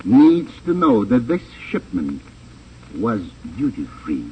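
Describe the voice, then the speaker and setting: An actor playing a smug, suavely menacing crime boss with a pompous, precise delivery in a 1950s radio drama. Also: male voice, mid-range voice, huge pitch swings, slow. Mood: smooth, persuasive. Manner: pompous, over-precise, relishing his words. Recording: dull, noisy old radio transcription.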